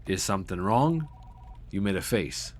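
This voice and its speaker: A man's voice: low-pitched, lively intonation, moderate pace, a little hiss or hum. A man playing a cold, businesslike corporate boss in a cyberpunk audio drama, handing out orders in a clipped, commanding way. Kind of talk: dramatic audio roleplay acting.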